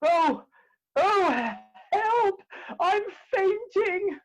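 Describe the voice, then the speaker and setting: A woman playing a flustered, melodramatic Victorian gentleman in a comic radio play, with theatrical, pompous delivery over a home video call. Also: extremely high pitch, lively intonation, drawn-out words, heavily acted, poor audio. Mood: frantic. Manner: theatrical, exclamatory, pompous wording.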